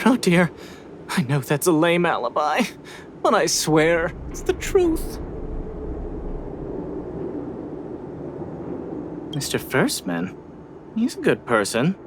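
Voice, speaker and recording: A man's voice: a little high, a little hiss or hum. A man voicing a timid, anxious suspect in a video game, hesitant, fretful and apologetic. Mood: nervous, hesitant. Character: timid, anxious, flustered suspect pleading innocence.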